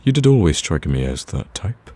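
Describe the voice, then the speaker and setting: A man playing a smug, flirtatious villain in an ASMR roleplay, teasing the listener in a casual, drawling, gravelly voice. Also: big pitch swings, moderate pace, dark tone. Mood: hopeful flirting. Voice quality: deep, gravelly.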